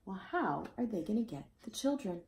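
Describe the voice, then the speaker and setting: Lively woman storyteller in an echoey room. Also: medium pitch, normal speed, slight background noise. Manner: expressive, addresses young listeners with asides. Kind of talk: expressive children's picture-book read-aloud. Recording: roomy, echoey indoor sound.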